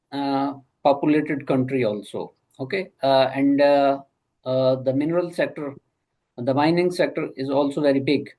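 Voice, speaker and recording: A man of middle pitch hosts the interview. He talks hesitantly, with frequent fillers between his remarks. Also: darkish tone, poor audio. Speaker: podcast host.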